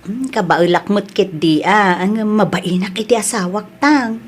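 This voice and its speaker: A woman's voice: medium pitch, measured pace, a little hiss or hum, close to an everyday voice. A woman with an Ilocano accent playing a respectful, generous young daughter-in-law in an audio drama. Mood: respectful, bashful.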